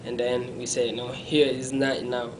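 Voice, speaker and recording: A young man recounts his past in a small indoor room, recorded from a camera a few feet away. His speech is halting, full of restarts and self-corrections, and his pitch stays level. A steady rumble runs under the recording. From Congo. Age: young adult. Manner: halting, self-correcting, non-native phrasing; gestures with his hands while talking. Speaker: interviewee. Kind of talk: personal story in an interview.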